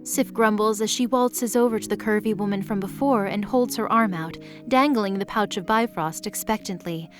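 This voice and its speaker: A woman's voice: mid-range voice, moderate pace, hardly any pauses, music in the background. A woman reading scripted story narration as the narrator of an audio drama.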